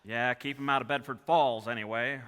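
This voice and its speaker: A man's voice: medium pitch, steady tone, a little fast, a bit bright, clear, resonant tone, close to an everyday voice. An actor playing an earnest, restless young small-town man in an old-time radio play. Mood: subdued. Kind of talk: 1940s radio play acting. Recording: echoey hall, live stage taping.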